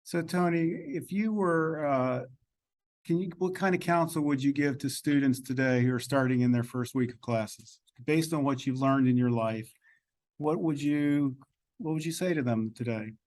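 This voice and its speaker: Mid-pitched man interviewing, measured delivery. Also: darkish tone. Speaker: interviewer, host. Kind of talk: conversational interview questions.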